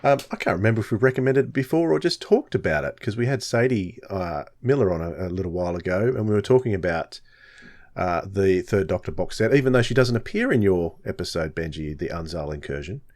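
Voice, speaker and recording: Man, podcast host, deep pitch, casual conversational delivery. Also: lively intonation, brisk, a little mellow. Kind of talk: casual chat.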